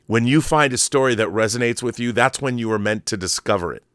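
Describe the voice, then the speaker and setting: A man's voice: mid-range voice, fast, fairly bright tone, dry recording, studio quality. A middle-aged man giving casual, rambling opinion commentary in an emphatic, conversational way, often digressing. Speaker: host of a film and book commentary show.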